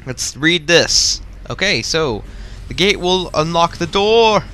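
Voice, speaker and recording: Excitable bright-voiced man playing a game. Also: on the high side, slow pace, clean tone. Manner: excitable, chatty, rambling. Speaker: gamer playing through a video game. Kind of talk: casual let's-play commentary.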